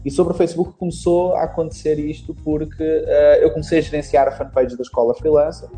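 A man's voice: a little high, flat delivery, brisk, dark tone, faint music behind. A young adult man with a European Portuguese accent, a guest on a casual podcast interview telling his story at length. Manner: long rambling storytelling. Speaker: guest, marketing instructor.

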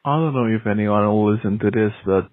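A man whose first language is Indonesian leaves a halting, rambling voicemail full of restarts. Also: fairly low pitch, a little hiss or hum. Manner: halting, many restarts and fillers. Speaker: caller leaving a voicemail. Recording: tinny phone line.

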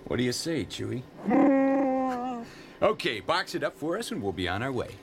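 An actor playing a sarcastic, mercenary starship pilot in a radio drama, blunt and brash. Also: male voice, medium pitch, big pitch swings, normal speed, a bit bright, a little hiss or hum. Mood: sardonic. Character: cocky, mercenary, sarcastic male starship smuggler.

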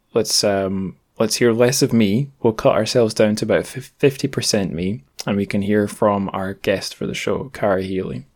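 A man hosting a podcast in a casual, chatty way, with wry, self-deprecating asides. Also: fairly low pitch. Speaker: podcast host.

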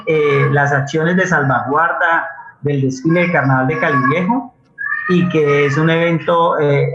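A man with a Colombian accent talking off the cuff as a guest in a forum, pausing to search for words. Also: on the high side, noisy background. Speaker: guest playwright and community theatre leader. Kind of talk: unscripted forum talk about theatre projects. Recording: dull, roomy internet call audio.

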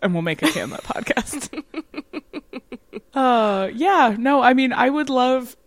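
A woman's voice: sits high, slow, no echo. A woman co-hosting a podcast in casual chat, laughing often and talking with lots of fillers. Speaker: podcast co-host.

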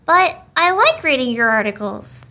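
A woman's voice: sits high, sing-song, normal speed, sharp tone, exaggerated voice. A woman playing a supportive, gossipy small-town mother in a radio play, with a chatty conversational manner. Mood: encouraging.

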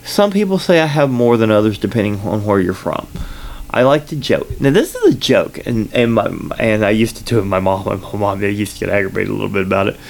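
A man with a Southern US accent talks into a sensitive studio microphone, hosting his own podcast. His voice sits low and carries a gravelly fry. He rambles casually, circling back with ums and restarts. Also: lively intonation, a little fast. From Kentucky, United States. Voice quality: loud voice at times. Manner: rambling, filler-heavy, self-correcting. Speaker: podcast host. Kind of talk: casual solo podcast update.

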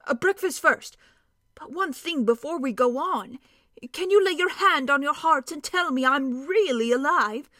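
A woman voicing a cheerful, stocky male dwarf with a hearty, emphatic, drawn-out way of talking. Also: sits high, animated, bright, forceful tone, no room sound, studio quality, voice pushed up, full character voice. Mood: wondering.

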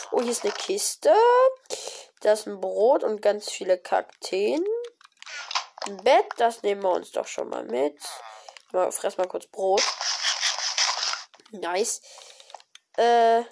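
A woman with a cold, giving casual, excited commentary on her own gameplay with many fillers and exclamations. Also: mid-range voice, vocal fry, a little hiss or hum. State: has a cold. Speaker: gaming video creator. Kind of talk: casual let's-play commentary.

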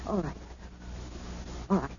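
An actress playing an exasperated, weary sister, firm and placating, in old-time radio mystery style. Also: female voice, slow pace, darkish tone, natural voice. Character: exasperated, weary woman managing her emotional sister. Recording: dull, noisy vintage radio recording.

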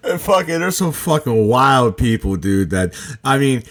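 A man's voice: mid-range voice, big pitch swings, measured pace. A man hosting a casual chat with friends, loose and profane, often laughing and calling people "dude" and "bro.". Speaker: podcast host.

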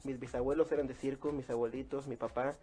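A man in his twenties with a mellow, relaxed voice at a medium pitch. He speaks casually, like a guest telling his story in an interview, with light music underneath. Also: fast talker, husky. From Mexico. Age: 20s. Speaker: interview guest, circus clown.

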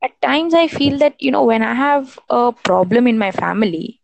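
A woman's voice: on the high side, animated, normal speed, vocal fry, dry recording. A young woman from India talking about her worries in a casual voice chat on a phone app, rambling with lots of restarts. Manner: rambling, with many restarts and "you know".

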